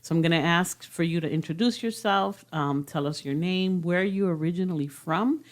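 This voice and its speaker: Woman radio host, chatty, bilingual, lowish voice, studio. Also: normal speed. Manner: switches Spanish and English, chatty, laughs often.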